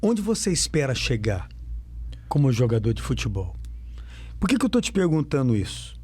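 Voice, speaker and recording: Male sports interviewer, middle pitch, emphatic questions, dry recording. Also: big pitch swings, moderate pace, darkish tone, breathy edge, no echo. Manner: emphatic, repeats questions for effect.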